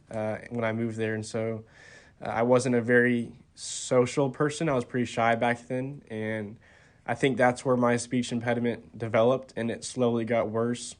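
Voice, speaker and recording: A college-aged man being interviewed on a podcast, soft-spoken and hesitant, with many ums and restarts. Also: medium pitch. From Texas. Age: 20s. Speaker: college golfer, podcast guest. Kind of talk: casual interview talk.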